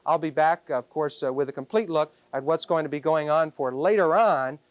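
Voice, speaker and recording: A man's voice: a little high, moderate pace, no room sound, highs cut off. Chatty 1980s TV weatherman. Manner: chatty, conversational delivery. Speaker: weather forecaster. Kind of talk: broadcast weather report.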